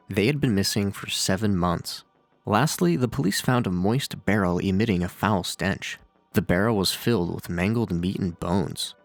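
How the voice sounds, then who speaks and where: A man's voice: sits low, no echo. A man narrating scripted commentary on horror with casual, dryly sardonic asides. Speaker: video narrator and reviewer. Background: faint music.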